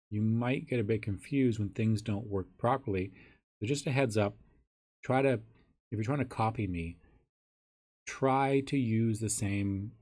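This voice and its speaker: A hoarse, raspy man teaching a software tutorial in a casual way, thinking aloud with ums and restarts. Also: on the low side. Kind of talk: screen-recorded software tutorial.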